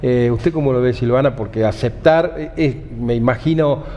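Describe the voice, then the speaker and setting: A man's voice: mid-range voice, normal speed, mellow, big-room sound, muffled recording. A man interviewing guests in a TV studio, asking questions hesitantly with many fillers. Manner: hesitant questions, frequent backchannel.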